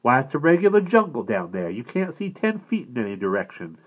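A man reading aloud, doing a fretful, protective country mom in a storybook tale. Her voice sits well above the reader's own and comes out louder and more insistent. The sound is thin and tinny, like a phone line. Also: medium pitch, sing-song, fairly quick, pitched above the performer's own voice. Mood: worried. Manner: anxious, pleading.

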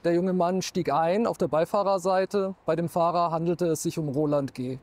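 Guy around 40 telling a crime case to camera, measured, higher voice, lapel mic outside. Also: fairly even intonation, darkish tone. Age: 30s or 40s. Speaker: true-crime TV expert. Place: outdoors in town.

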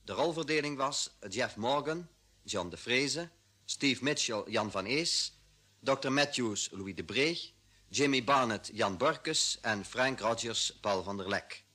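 Husky, forceful radio announcer, a man. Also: medium pitch, lively intonation, slow. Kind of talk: formal 1950s radio announcement.

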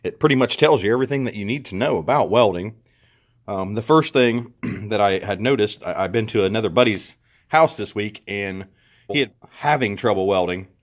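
A middle-aged man talks through a hands-on how-to in an indoor garage, picked up by the camera's own mic. His voice sits somewhat low. He keeps it relaxed and plain-spoken, restarting a phrase here and there as he goes. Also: fairly quick. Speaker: host. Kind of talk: casual how-to walkthrough.

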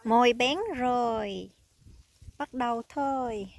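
A woman's voice: a little high, slow pace. A woman casually narrating a family barbecue vlog outdoors, pointing out each food as she films. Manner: chatty, points out food items one by one. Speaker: vlogger filming a family barbecue. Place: outdoors on a rural farm field.

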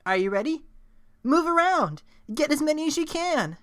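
Evil talking flower, young, falsely cheerful, bright and swooping. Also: female voice, high-pitched, sing-song, moderate pace. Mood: sugary, coaxing. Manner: saccharine folksy cheer turning to menacing sneer. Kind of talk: video game character impression.

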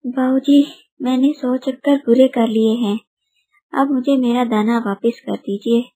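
A woman's voice: a little high, steady tone, a little slow, warm tone, slight background noise, low-quality recording. A woman voicing a quarrelsome, cunning female bird in a children's story, accusing and indignant. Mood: demanding.

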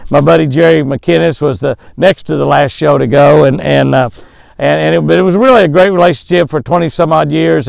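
Gravelly older Southern man, folksy. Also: fairly high pitch, a little mellow, no room sound, low-quality recording. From American South. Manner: folksy, chuckling, drawn-out emphasis. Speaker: fishing show host. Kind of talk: devotional reading and fishing tip. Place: outdoors by a lake.

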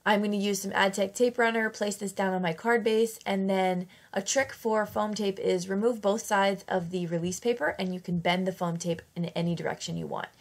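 A woman with a slight cold talks through her craft project in a chatty, easygoing voice-over. Her voice has a gravelly, creaky edge, and she often corrects herself mid-sentence. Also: medium pitch, steady tone, normal speed, few pauses. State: fighting off a cold. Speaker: craft channel host. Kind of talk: casual craft tutorial voice-over.

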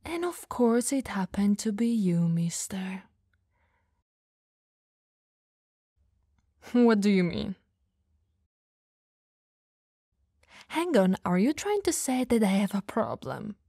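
A woman playing an elegant, dominant vampire lady who teases flirtatiously yet caringly in an ASMR roleplay, softly and breathily. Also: medium pitch, big pitch swings, dry recording, studio quality. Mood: smug, amused. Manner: soft, breathy, slow teasing delivery, often dropping to whispers.

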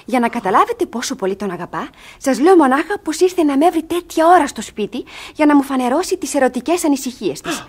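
A woman's voice: high-pitched, lively intonation. An actress playing a cunning, passionate young woman in a radio theatre comedy, dramatic and emphatic. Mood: flustered, scheming. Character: young female ward, cunning, passionate, desperate lover.

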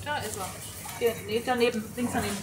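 A woman's voice: a little high, fairly even intonation, quick pace. Distant woman chatting in a noisy supermarket. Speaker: shopper. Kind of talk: casual chat while shopping. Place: inside a supermarket. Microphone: distant ambient recorder. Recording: far from mic, echoey, loud supermarket clatter. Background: loud store noise, clinking, rattling.

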